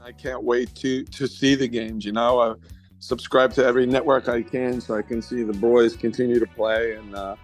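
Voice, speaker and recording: Even-toned middle-aged man in interview. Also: medium pitch, normal speed, faint music behind. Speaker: youth club coach and founder. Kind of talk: casual interview soundbite.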